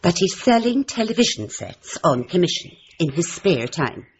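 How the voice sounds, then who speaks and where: A woman's voice: fairly low pitch, very animated, normal speed, lo-fi sound, a little hiss or hum. A woman playing a chatty, bossy, motherly older housekeeper in a radio drama, fussing and indignant. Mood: indignant, gossipy.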